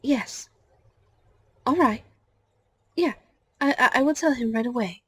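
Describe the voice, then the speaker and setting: A woman's voice: high voice, takes it slowly, husky, no echo, heavily acted. A woman playing a patient, reassuring hospital nurse in a scripted audio roleplay, speaking politely and formally. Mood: brisk, businesslike.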